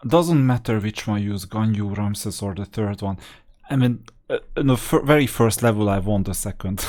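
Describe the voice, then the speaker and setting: A man's voice: lowish voice, normal speed, a little mellow. Man giving casual gameplay commentary. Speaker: host of a gaming strategy channel.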